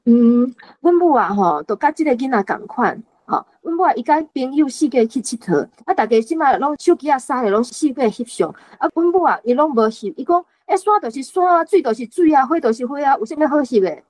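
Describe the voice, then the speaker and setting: A woman's voice: high-pitched, animated, fairly quick, hardly any pauses, a little mellow, low-quality recording. A woman with a Taiwanese accent casually sharing her thoughts as a member of a reading group.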